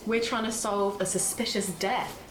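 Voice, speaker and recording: An actress plays a chatty woman amateur investigator in an audio drama. Her voice is of average female pitch, playful and inquisitive. A faint ambient noise sits behind it. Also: fairly even intonation, moderate pace, lightly acted. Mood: earnest. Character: chatty, curious, playful woman, amateur true-crime podcaster. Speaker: amateur podcaster.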